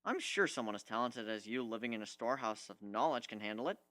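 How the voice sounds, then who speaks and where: A man's voice: medium pitch, quick pace, a bit bright, lightly acted. A man voicing a proud, embittered young griffin warrior in a story reading, blunt and emphatic. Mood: matter-of-fact.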